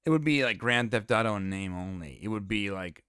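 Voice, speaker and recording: A man's voice: lowish voice, a little fast, clear, resonant tone, no room sound, clean studio sound. A man co-hosting a casual podcast, joking around and rambling with fillers. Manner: jokey, animated, hesitant with fillers. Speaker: podcast co-host. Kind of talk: casual chat.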